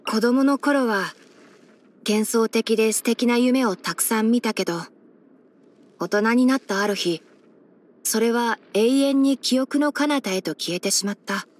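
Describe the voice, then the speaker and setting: A young male forest ranger chief with fox ears for an anime-style game. The voice is fairly high, light and boyish. He speaks in a measured, articulate way, clever and gentle with a soft wryness. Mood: reflective, gentle. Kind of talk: Japanese game character voice-over.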